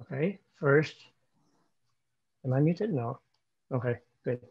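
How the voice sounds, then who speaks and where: A man's voice: medium pitch, lively intonation, slow, creaky, husky. A man from Taiwan, guest at an online club meeting, gives friendly feedback with plenty of "you know", over a video call. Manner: warm, encouraging, filler-heavy with you know. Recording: dull dry video call audio.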